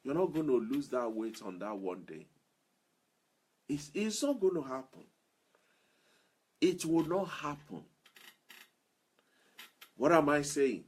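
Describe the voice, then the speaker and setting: A man's voice: a little high, lively intonation, slow, long pauses, breathy edge. A man giving an earnest motivational talk as a self-improvement speaker, repeating key phrases for emphasis.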